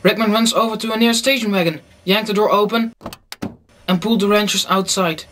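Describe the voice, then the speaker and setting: Casting: male narrator, notably high voice, scripted storytelling for audio drama. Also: fairly even intonation, brisk, bright, forceful tone, a little hiss or hum. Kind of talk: scripted radio play narration.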